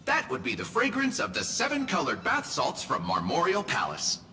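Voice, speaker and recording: High, wildly animated, pompous herald. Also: male voice, moderate pace, creaky, exaggerated voice. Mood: grandiose, delighted. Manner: theatrical, florid. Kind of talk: video game voice acting. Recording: long reverb, faint music behind.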